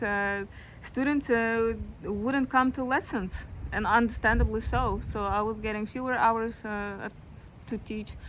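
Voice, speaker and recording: Halting young woman, full of fillers. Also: medium pitch, a bit bright, slight background noise, rough recording. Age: late 20s. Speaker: astrology vlogger. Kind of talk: casual personal storytelling.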